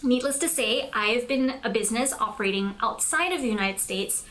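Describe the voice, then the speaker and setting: A young woman from Malaysia hosting a tutorial, speaking in a scripted but conversational, chatty and explanatory way with clear emphasis. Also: fairly high pitch, expressive, a little fast, fairly bright tone. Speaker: host of a business tutorial channel.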